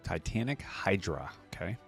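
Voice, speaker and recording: A man's voice: low-pitched, big pitch swings, moderate pace, creaky, soft background music. A man giving casual reaction commentary on game voice lines as a host, musing aloud in loose, informal fragments.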